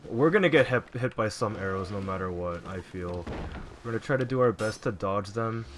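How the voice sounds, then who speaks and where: A man with a low voice talks quietly and loosely over his gameplay, drifting through thoughts with plenty of ums. Faint background hiss sits under the recording. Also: fairly quick. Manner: relaxed, rambling, frequent fillers. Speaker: let's play gamer. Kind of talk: casual gameplay commentary.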